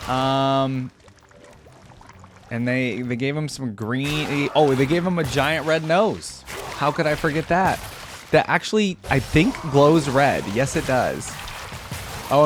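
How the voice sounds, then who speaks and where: A man hosting a casual, unscripted reaction video, commenting in a halting, conversational way as he thinks aloud. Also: on the high side, slight background noise. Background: background music.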